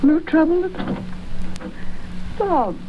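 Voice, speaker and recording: An actress playing a gentle, grieving mother, tender and mournful, in 1930s radio drama style. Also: very high voice, expressive, takes it slowly, full character voice. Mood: sorrowful. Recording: muffled old radio broadcast, light noise.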